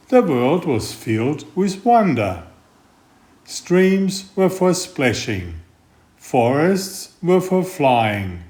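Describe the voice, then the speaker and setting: A man reading a picture book aloud in a gentle, unhurried storytelling manner. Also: mid-range voice. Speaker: storybook reader. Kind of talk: slow read-aloud picture book narration.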